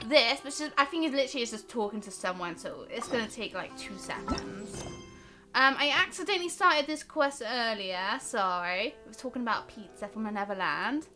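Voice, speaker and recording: A woman's voice: high-pitched, sharp tone. A woman chatting casually and bubbly as she hosts a gaming livestream, rambling along and talking to her viewers. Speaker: livestream host.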